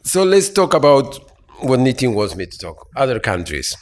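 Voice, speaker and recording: A man's voice: medium pitch, very animated, moderate pace. A man from Argentina, speaking as a panelist with many fillers and restarts. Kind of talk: panel talk. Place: conference hall.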